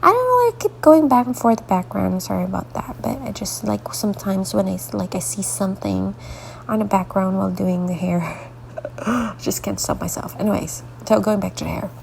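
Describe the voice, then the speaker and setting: A woman artist talking through a coloring tutorial with a congested, stuffy voice, rambling softly and often dropping into half-whispered asides, sleepy late at night. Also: mid-range voice, animated, moderate pace, creaky, slight background noise, rough recording. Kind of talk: casual coloring tutorial narration.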